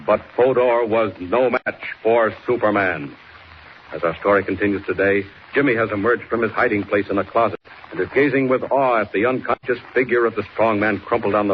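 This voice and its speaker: Dramatic male announcer, muffled vintage recording. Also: lowish voice. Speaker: announcer and narrator. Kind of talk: dramatic 1940s radio serial narration. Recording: muffled, faintly noisy old radio recording.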